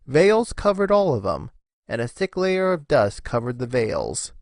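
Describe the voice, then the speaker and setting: A man's voice: medium pitch, sing-song, unhurried, creaky, dry recording, clean studio sound. A man narrating a scripted story expressively, as the narrator of a fan fiction reading.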